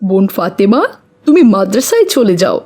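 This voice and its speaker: A woman's voice: mid-range voice, sing-song, moderate pace, darkish tone, soft background music. A woman voicing an earnest, preachy young schoolboy in a children's moral cartoon. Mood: questioning, insistent. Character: pious, earnest schoolboy who admonishes his friend.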